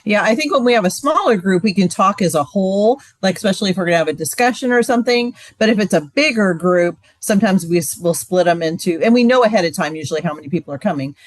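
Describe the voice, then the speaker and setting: A woman's voice: medium pitch, animated, fast, hardly any pauses, no room sound. Chatty woman with a dry close sound. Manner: casual, chatty, many "like" and "um". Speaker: marriage ministry leader. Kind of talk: training session talk.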